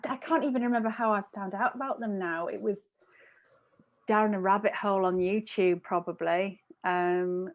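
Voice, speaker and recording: A woman in her thirties chatting casually as a podcast panelist, hesitant and often interrupting herself as she looks for words. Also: medium pitch. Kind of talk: casual chat. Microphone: wired earphones on video call.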